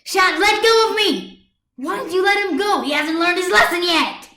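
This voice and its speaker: A woman acts out a furious young superheroine from a cartoon fan story, loud and high. She rants with fierce, protective indignation. The sound is roomy, picked up by a computer microphone. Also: animated, normal speed, exaggerated voice. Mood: outraged, shouting. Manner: loud, indignant tirade delivery.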